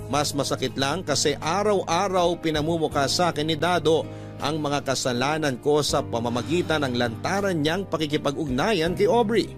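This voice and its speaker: High-pitched male radio letter narrator over music. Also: moderate pace. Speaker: narrator reading a listener's letter. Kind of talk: dramatic first-person radio letter narration. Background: background music.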